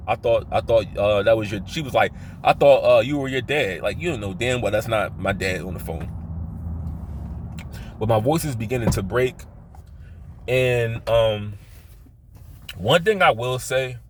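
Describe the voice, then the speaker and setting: Male vlogger, Philadelphia accent, lowish voice, leans hard on his O's, halting and repetitive personal storytelling. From Philadelphia, United States. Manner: stresses his O vowels; halting, repetitive, circles back to his point.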